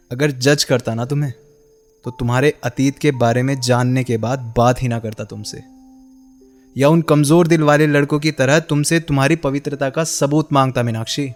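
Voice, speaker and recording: A man's voice: mid-range voice, steady tone, normal speed, soft background music, close to an everyday voice. An actor as a reserved, principled man. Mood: bitter, accusing. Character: reserved, principled male interviewer, quietly wounded former lover. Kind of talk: radio drama acting.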